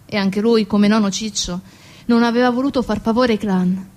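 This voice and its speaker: A woman narrating a dramatic theatre monologue, solemn and deliberate, in short measured phrases. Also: medium pitch, steady tone, a little mellow. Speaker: stage actress narrating.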